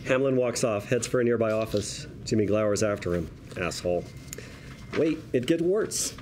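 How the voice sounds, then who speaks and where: Dark-toned man narrating a screenplay. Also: fairly low pitch, animated, normal speed, a little hiss or hum. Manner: wry, colloquial storytelling. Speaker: narrator reading stage directions. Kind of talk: scripted screenplay narration, present tense.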